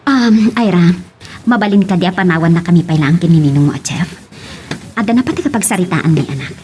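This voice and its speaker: A woman's voice: lowish voice, animated, fast, background noise, lightly acted. A woman with an Ilocano Filipino accent playing a troubled, conflicted wife and mother in an audio drama. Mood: firm. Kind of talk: Ilocano radio-style drama acting.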